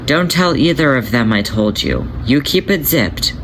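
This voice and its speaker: A man's voice: mid-range voice, lively intonation, a little slow, natural voice. A man voicing a teasing, protective tomcat. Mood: tender teasing. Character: cocky male cat, protective and teasing. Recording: noisy home recording.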